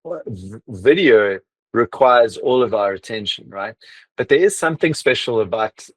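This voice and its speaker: A man's voice: medium pitch, expressive, normal speed. A man interviews a guest in a loose, chatty way, often stopping and restarting his sentences. His mid-range voice sounds close and dry, with no room echo. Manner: chatty, hesitant, many restarts and fillers. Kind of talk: casual interview talk.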